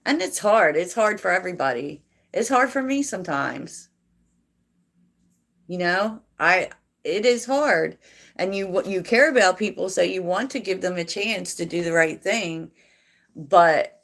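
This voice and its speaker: A woman hosting a tarot livestream, chatting softly and reflectively with viewers and laughing often. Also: lowish voice, creaky. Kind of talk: casual livestream chat answering viewers.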